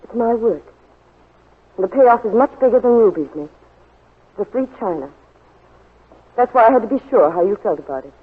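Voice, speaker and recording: A woman's voice: fairly high pitch, animated, normal speed, pauses often, husky. An actress playing a brave, earnest woman spy in love in a 1940s radio drama. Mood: tender, wistful. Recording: muffled old 1940s radio broadcast, faint music.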